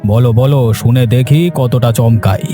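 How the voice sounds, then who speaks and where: An actor playing a trusting village landowner in a cartoon, speaking in a measured, sceptical manner. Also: male voice, medium pitch, steady tone, mellow, lightly acted. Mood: curious, doubtful. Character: trusting, unhurried village landowner, addressed as babu. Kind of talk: dubbed cartoon dialogue. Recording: music under the voice.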